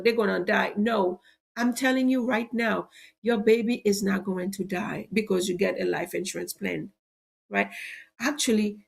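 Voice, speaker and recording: A middle-aged woman who sells insurance, hosting a live show and sounding stuffy and a bit cracky from allergies, making a persuasive pitch that leans on "right?" as a tag. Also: vocal fry. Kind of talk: live-stream sales talk.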